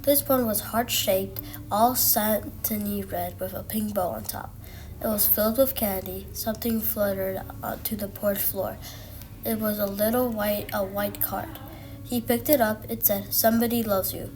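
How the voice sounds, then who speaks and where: A woman's voice: medium pitch, fairly even intonation, a little slow, darkish tone, rough recording. A woman reading a children's storybook aloud, now and then stumbling over and repeating words. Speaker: student reader. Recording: music playing behind the voice. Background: music.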